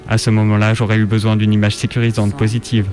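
Male narrator, low-pitched and very even, scripted first-person story reading with faint music underneath. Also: quick pace. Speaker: reader on a radio show.

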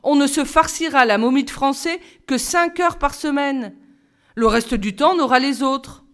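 A woman voicing a cheeky, excitable schoolboy who chatters in slangy bursts. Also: high voice, unhurried, voice pushed up. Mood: eager.

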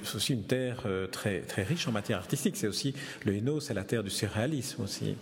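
A man interviewing a guest, prompting him with quick, lively questions. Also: lowish voice. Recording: distant, reverberant, faint noise.

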